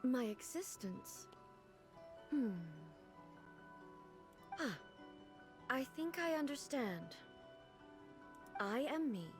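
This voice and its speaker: A woman's voice: high voice, big pitch swings, extremely slow, pauses often, music in the background, full character voice. A woman playing a dignified, ageless deity ruler, speaking formally and pensively in an anime game. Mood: pensive, solemn.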